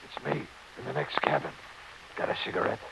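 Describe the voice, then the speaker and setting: An actor playing a furtive, down-and-out veteran ship passenger in 1940s radio comedy, with a hushed gravelly voice on a scratchy old recording. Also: male voice, a little fast, close to an everyday voice.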